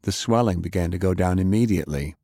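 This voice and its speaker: Male audiobook narrator for adventure fiction: deep register, very dry studio sound. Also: fast, dark tone, dry recording. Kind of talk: scripted narration of an adventure novel.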